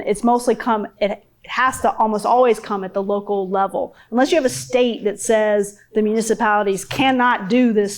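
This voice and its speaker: A woman on a panel giving a casual, chatty answer with restarts and fillers. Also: medium pitch. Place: public forum room.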